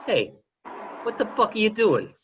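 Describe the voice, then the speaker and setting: A man's voice: sits high, big pitch swings, unhurried, a little hiss or hum. A man playing a short-tempered, bullying gangster husband in a crime radio play, snapping confrontationally, on a lo-fi home recording. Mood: angry snapping.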